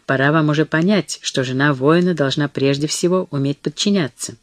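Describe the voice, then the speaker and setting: A reader plays a haughty old noblewoman whose every word is dry and cold. The lines come clipped and measured, in a close, dead-dry recording. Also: low voice, no room sound, lightly acted. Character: stern aristocratic old mother-in-law, cold.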